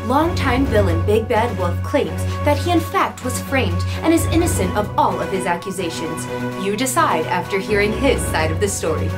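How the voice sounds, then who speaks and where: A woman's voice: mid-range voice, lively intonation, moderate pace, few pauses, over loud music, lightly acted. A woman playing a formal, urgent news anchor with a punchy announcer-style delivery.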